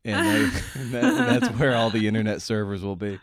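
A man's voice: fairly low pitch, wildly swooping pitch, brisk, a crowd in the background. A man hosting a podcast in a casual, playful and informal way, rambling a little as he talks. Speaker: podcast host. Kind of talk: casual conversational interview with scripted introduction.

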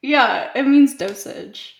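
A woman's voice: high voice, slow pace, gravelly. Giggly young woman in a roomy echo. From Canada. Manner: breezy, giggly, filler-heavy, "like" every few words. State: just woken up. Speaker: podcast co-host. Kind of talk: casual chat.

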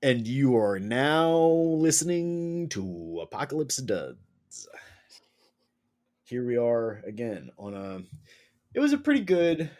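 Man, podcast host, easygoing, unhurried, lively pitch. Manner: slow, easygoing, upbeat. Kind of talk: casual chat.

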